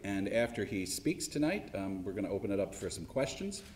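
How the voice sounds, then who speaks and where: A man's voice: on the low side, sing-song, brisk, darkish tone, slight background noise. Earnest man opening a university lecture. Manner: earnest, with small slips and restarts. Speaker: university official opening the event. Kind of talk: formal welcoming remarks.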